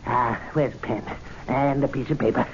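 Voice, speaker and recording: An actor playing an indignant, fussy, excitable club servant in an old radio drama, given to muttering and theatrical outbursts. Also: male voice, medium pitch, normal speed, close to an everyday voice. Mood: scheming, excited. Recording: muffled, noisy old radio drama recording.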